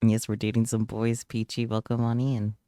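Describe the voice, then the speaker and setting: A man's voice: medium pitch, monotone, normal speed, warm tone, no echo. A man co-hosting a game livestream in casual chat. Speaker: streamer co-hosting a game playthrough.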